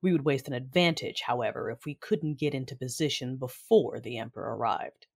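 A woman acting a proud, vengeful Sith commander and mother in an audio drama, narrating and speaking in a formal, measured, commanding way. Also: extremely low pitch, slightly rough, exaggerated voice. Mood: controlled, impatient. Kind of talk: first-person audio drama narration and dialogue.

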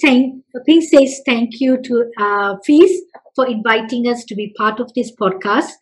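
Woman with a hesitant, halting delivery. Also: fairly high pitch, poor audio. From Malaysia. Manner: hesitant, many fillers and restarts. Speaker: festival representative guest. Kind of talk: podcast interview answers.

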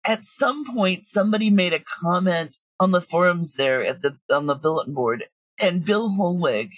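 A woman talking casually as an interview guest, telling stories in an animated way with quoted asides. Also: lowish voice, normal speed, no room sound, dull audio. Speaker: audio drama creator, interview guest.

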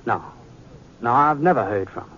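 A man's voice: mid-range voice, expressive, a bit bright, close to an everyday voice. A man playing an earnest, polite young frontier sheriff with an American accent and a reserved manner, in a radio Western. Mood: earnest, wistful. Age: young adult. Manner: courteous, reserved, deliberate. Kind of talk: 1950s radio Western acting. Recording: muffled noisy 1950s radio recording.

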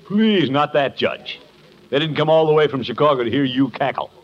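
An actor playing a blustery, competitive middle-aged water commissioner who mutters gruff teasing asides in a 1950s radio comedy. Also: male voice, a little high, moderate pace, close to an everyday voice. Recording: muffled old 1950s radio recording.

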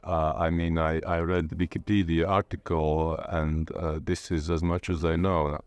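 Low-voiced, halting Latvian man. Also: little pitch movement, dry recording, highs cut off. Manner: hesitant, halting, groping for words. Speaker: interviewee, playwright and literature lecturer. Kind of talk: informal interview answers.

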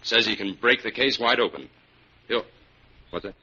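An actor playing a confident, clever detective in an old-time radio adventure. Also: male voice, lowish voice, normal speed, pushed and bright, husky, full character voice. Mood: calm, assured. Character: confident, clever male detective in charge of a trap. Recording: muffled, hissy old radio recording.

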